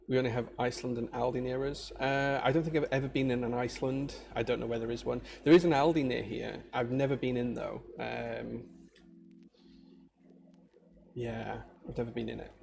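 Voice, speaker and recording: A man's voice: mid-range voice, creaky, darkish tone, a little hiss or hum. A married man with a Yorkshire accent, chatting casually and rambling with viewers during a livestream from his workshop. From Yorkshire, England. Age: adult, married. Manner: chatty, rambling, many fillers and restarts. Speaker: maker livestreamer.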